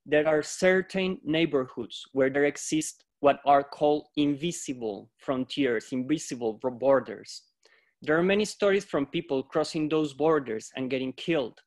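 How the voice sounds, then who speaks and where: A man from Colombia, a yoga teacher, giving a reflective, measured talk on a video-call panel. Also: on the high side. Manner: reflective, measured, quotes philosophers.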